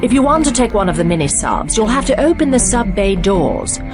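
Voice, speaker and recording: Discreet woman informant with lively intonation acted. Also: mid-range voice, a little fast, natural voice. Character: discreet, businesslike female undercover informant. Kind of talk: video game voice acting. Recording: game audio with music behind.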